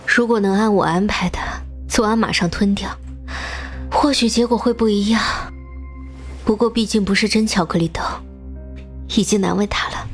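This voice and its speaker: A woman playing a bitter, remorseful young woman in an animated drama, narrating her past in a measured, melancholy way. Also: mid-range voice, faint music behind, lightly acted. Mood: cold, composed.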